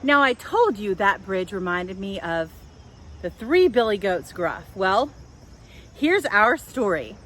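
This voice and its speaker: A woman hosting a children's storytime outdoors, telling things in simple, deliberate phrases for small children. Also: medium pitch, sing-song, fairly bright tone. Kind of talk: storytelling and guided talk for young children. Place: outdoors in a public park. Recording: outdoor park with faint background noise.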